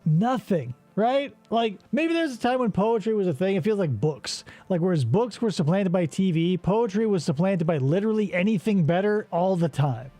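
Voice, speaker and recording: A man's voice: high-pitched, lively intonation, moderate pace, few pauses, mellow, faint music behind. A man hosting a casual comedy game show, rambling and sarcastic with profane asides.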